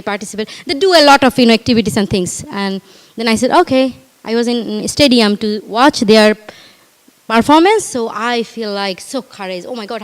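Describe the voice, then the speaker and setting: Earnest Bangladeshi woman in a reverberant hall. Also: fairly high pitch. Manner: earnest, repetitive, halting, addresses audience directly. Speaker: guest speaker. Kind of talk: personal speech at a community event. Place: community hall.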